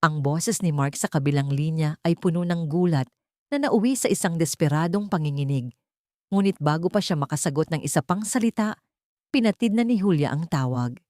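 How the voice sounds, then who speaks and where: A woman's voice: sits low, darkish tone, no echo, studio quality. A woman reading a dramatic scripted story as an audiobook narrator.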